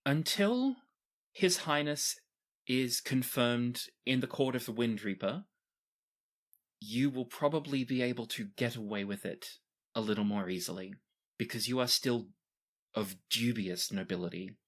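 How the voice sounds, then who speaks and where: A man voicing a professional female retainer and former adventurer who speaks in a formal, measured, respectful way in a tabletop game. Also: mid-range voice, close to an everyday voice. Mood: measured, candid.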